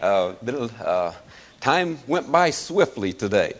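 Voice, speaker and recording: A hoarse middle-aged man leads a service with a loose, chuckling manner, full of "uh"s between his phrases. His pitch swings widely and climbs as he presses his points. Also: big pitch swings, slow pace. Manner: folksy, chuckling, loose with fillers. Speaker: pastor. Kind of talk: sermon.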